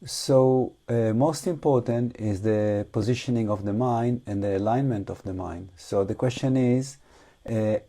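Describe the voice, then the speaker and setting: A man who is not a native English speaker guides an unscripted meditation talk, reflective and halting, with long pauses. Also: lowish voice, dark tone. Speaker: meditation teacher.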